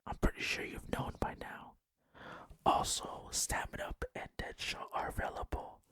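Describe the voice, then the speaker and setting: Asmr gaming host, all breathy whisper, sharp and close to the mic, hushed careful narration. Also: moderate pace, no echo. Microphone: close microphone.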